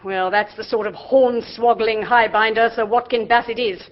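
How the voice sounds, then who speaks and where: An actress playing a brisk, bossy middle-aged aunt in a radio drama. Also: female voice, a little high, steady tone, lightly acted. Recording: dull lo-fi audio.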